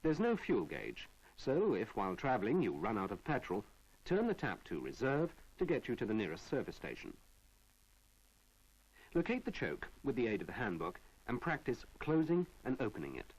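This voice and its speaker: A British man reading a measured, didactic narration for an instructional film from the 1970s. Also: medium pitch, wildly swooping pitch, slightly rough, low-quality recording.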